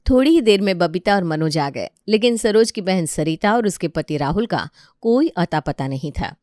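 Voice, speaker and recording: A woman's voice: lowish voice, lively intonation, normal speed, a little mellow, no room sound, studio quality. A woman narrator reading a scripted family story.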